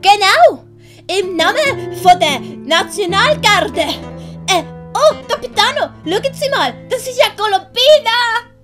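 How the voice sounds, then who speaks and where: A woman's voice: very high voice, animated, a little slow, over music, pitched above the performer's own voice. A woman voicing an eager, bumbling male Spanish sergeant in a comic audio play, flustered and stammering, with a Swiss German accent.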